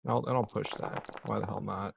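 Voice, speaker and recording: A man's voice: lowish voice, fairly even intonation, moderate pace, creaky, breathy edge. A man playing a tabletop game, speaking casually in a hesitant, joking way. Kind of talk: casual banter.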